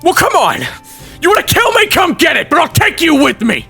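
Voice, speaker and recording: A man's voice: extremely high pitch, sing-song, fairly quick, creaky, sharp tone, shouting, voice pushed up, exaggerated voice. A man voicing a wary, exhausted young soldier who stammers and swears defensively, in an audio roleplay with music behind. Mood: defiant. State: exhausted and hungry.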